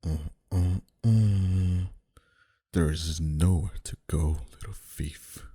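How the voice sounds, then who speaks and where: A man playing a dominant, flirty mafia boss in an intimate ASMR roleplay, talking in a deliberate, quietly threatening and teasing manner. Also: low voice, fairly even intonation, breathy edge, full character voice. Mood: amused, menacing. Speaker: voice actor.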